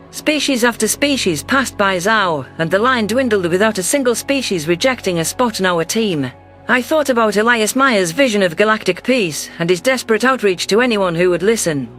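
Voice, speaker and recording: High-pitched man narrating sci-fi. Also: fairly bright tone. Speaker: audiobook narrator. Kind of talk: scripted first-person sci-fi narration.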